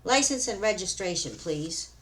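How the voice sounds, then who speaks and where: A woman voicing a stern, by-the-book male police officer who talks slowly and firmly. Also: mid-range voice, expressive, fairly bright tone, lightly acted. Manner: slow, firm, official.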